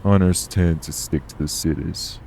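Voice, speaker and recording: A man playing a steady, guarded, streetwise vampire traveler in an audio roleplay, matter-of-fact with folksy clipped word endings. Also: low-pitched, normal speed, gravelly, background noise. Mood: calm, wary.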